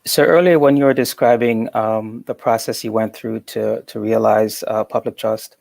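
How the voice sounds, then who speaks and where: A man's voice: fairly low pitch, steady tone, moderate pace, creaky, a little mellow, no echo. A man interviewing an artist, asking long, hesitant questions full of fillers and restarts. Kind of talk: interview conversation.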